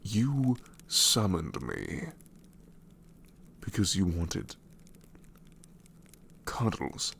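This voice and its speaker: A man's voice: on the low side, very animated, extremely slow, creaky, pauses often, fairly bright tone, slight background noise, full character voice. A man voicing a sassy, exasperated ancient male shadow demon in an ASMR roleplay, sarcastic and incredulous. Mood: exasperated sarcasm.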